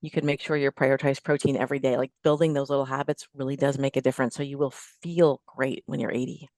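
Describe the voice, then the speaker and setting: A woman's voice: very deep voice, fairly even intonation, fast talker, a little mellow, no echo. A middle-aged woman chatting as a podcast guest, giving emphatic, plain-spoken fitness advice and building her points in lists. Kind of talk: casual interview chat giving advice.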